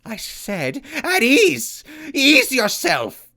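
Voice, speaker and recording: A man's voice: extremely high pitch, huge pitch swings, drawn-out words, gravelly, bright, forceful tone, full character voice. A man playing a volatile pyromaniac clown soldier in an audio drama, with grandiose, florid speech and swooping outbursts. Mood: barking.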